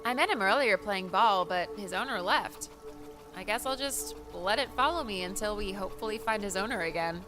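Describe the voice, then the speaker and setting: A man's voice: sits high, normal speed, music in the background, voice pushed up, natural voice. A man voicing a breezy, naive young woman traveler with a casual, airy manner in an audiobook. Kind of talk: audiobook character voice.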